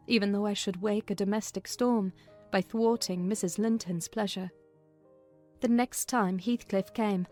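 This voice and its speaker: A woman narrator with a mid-pitched voice reads a classic novel expressively. Soft music plays faintly behind her storytelling.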